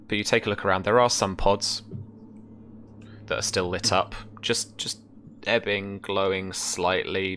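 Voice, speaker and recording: A young man working as game master, narrating a tabletop scene in a casual, halting, descriptive way. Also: sits low, bright, forceful tone. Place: indoor room, remote session. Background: music.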